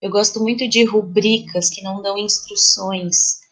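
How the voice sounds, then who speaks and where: Brazilian woman, mid-pitched, reflective interview guest. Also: steady tone, moderate pace, fairly bright tone, rough recording. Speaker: playwright guest. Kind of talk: conversational interview, reflective personal account.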